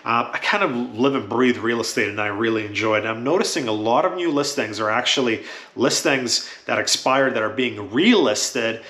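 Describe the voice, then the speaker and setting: A man's voice: mid-range voice, normal speed, fairly bright tone, reverberant. A man whose first language is Croatian, talking casually and matter-of-factly to camera as a real estate agent, with frequent "uh" fillers.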